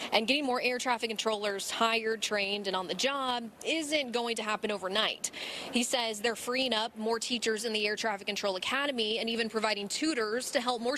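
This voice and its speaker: A woman's voice: a little high, pushed and bright, a little hiss or hum. An American woman giving a live television news report as a field reporter.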